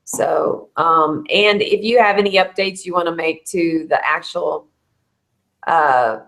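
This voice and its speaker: Hoarse chatty woman, lowish voice. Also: a bit bright. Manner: chatty, quick to laugh. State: hoarse, losing her voice. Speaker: host of a bluebonnet bloom update. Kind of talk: casual live chat with a co-host.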